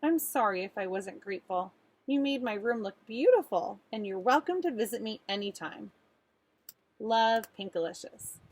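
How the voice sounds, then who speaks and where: A woman voicing an earnest little girl who tells her own storybook tale in an expressive, pleading way. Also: mid-range voice, moderate pace. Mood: polite, pleading. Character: earnest little girl narrator with a sweet tooth.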